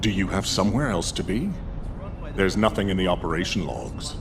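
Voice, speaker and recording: An actor playing a stern military commander in a video game, dry and authoritative. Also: male voice, expressive, fairly quick, vocal fry. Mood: commanding. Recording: noisy rumble behind.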